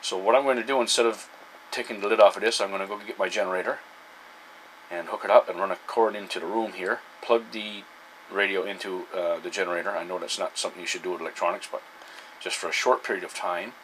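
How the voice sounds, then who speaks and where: A man giving a casual, halting explanation as a hobbyist vlogger, folksy and thinking aloud. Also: low voice, steady tone, fairly bright tone, a little hiss or hum, rough recording.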